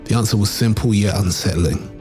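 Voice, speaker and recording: A man reading scripted documentary narration in a measured, dramatic storytelling manner. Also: fairly low pitch, warm tone, faint music behind.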